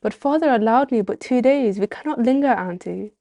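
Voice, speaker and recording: Woman playing an eager young girl, lifted pitch. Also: brisk, dark tone, no echo, natural voice. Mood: eager, pleading. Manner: old-fashioned formal diction. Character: eager, impatient young girl with archaic speech. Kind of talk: reading story game dialogue aloud.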